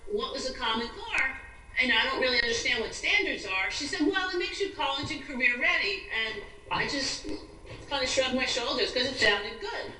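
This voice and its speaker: Female activist guest, American, bright forceful voice, emphatic delivery, heard from off the mic on an echoey internet call. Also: high-pitched. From New York State, USA. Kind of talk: earnest advocacy interview. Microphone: video call. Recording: roomy, distant, noisy internet video call.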